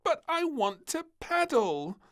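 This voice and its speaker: Man putting on an excitable high-pitched little princess. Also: slow pace, full character voice. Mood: indignant, whining. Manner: shrieky, eager, whining. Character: excitable, stubborn little princess, a young girl.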